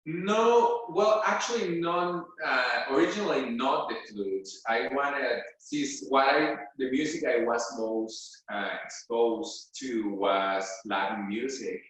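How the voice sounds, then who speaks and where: A man's voice: mid-range voice, slow pace, few pauses, a bit bright, heavy reverb, far from the mic, poor audio. A man from Venezuela, a guest flute player, answering interview questions at home with many fillers and restarts. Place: his home.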